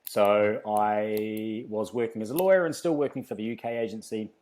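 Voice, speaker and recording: Low-voiced New Zealand man. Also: moderate pace, a little mellow. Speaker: podcast guest, fitness company founder. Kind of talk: casual interview storytelling.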